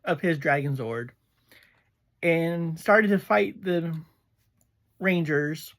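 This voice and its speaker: A reviewer hosts a casual show in a medium-pitched voice. The delivery is very slow and halting, with frequent breaks in the middle of sentences. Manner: halting, pauses often mid-sentence. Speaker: reviewer and host of a review series. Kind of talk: casual episode review to camera.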